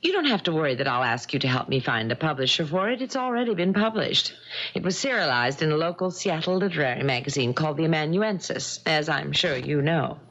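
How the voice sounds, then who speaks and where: An actress playing an aggrieved, determined young woman novelist in a radio mystery drama, speaking in a pointed, deliberate, confrontational way. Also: deep voice, animated, fairly bright tone, heavily acted. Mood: bitter, accusing. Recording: dull lo-fi old radio broadcast.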